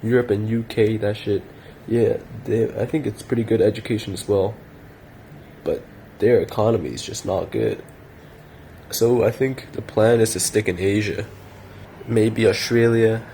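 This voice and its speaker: A man's voice: on the low side, fairly even intonation, normal speed, pauses often, warm tone, slight background noise. A young man in his late teens, talking to camera in a loose, rambling rant full of slang and swearing, often repeating himself. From Chinese heritage. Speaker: vlogger.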